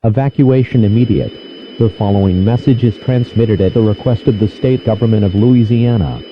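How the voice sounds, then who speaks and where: A man's voice: fairly low pitch, moderate pace. A man's stiff, synthetic voice reading a formal scripted emergency announcement over a lo-fi, noisy radio broadcast. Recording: dull lo-fi radio with clear noise.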